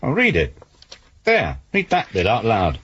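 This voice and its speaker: An actor playing a sceptical, insistent police detective with a brusque manner in a radio drama. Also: male voice, lowish voice, wildly swooping pitch, unhurried, a bit bright, slightly rough, muffled recording, exaggerated voice. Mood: brusque, suspicious.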